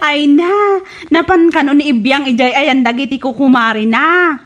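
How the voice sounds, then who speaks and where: Woman playing high-pitched, nosy Ilocano gossip. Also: unhurried, fairly bright tone. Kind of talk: Ilocano radio comedy drama acting.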